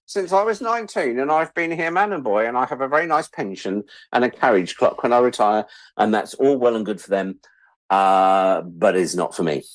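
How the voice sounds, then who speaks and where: A man's voice: mid-range voice, moderate pace, no room sound. A man in his sixties with an English accent, telling anecdotes in a casual interview, self-deprecating and acting out what people said. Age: 60s. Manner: animated storyteller, self-deprecating, acts out quoted remarks. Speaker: interview guest, writer and editor.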